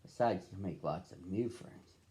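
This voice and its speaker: A gentle mama bear from a children's storybook, voiced by a man reading aloud. She explains things in a practical, comforting way with a mellow, relaxed tone. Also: sits low, moderate pace, pitched below the performer's own voice, lightly acted.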